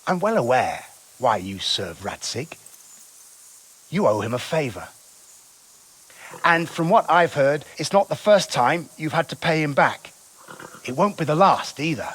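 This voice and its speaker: An actor playing a proud, aggrieved nobleman in a video game cutscene, speaking in a formal, haughty and measured manner. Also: a little high, sing-song, fairly bright tone, slightly rough, full character voice. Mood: coolly persuasive. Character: aggrieved, proud nobleman seeking retribution, coolly persuasive.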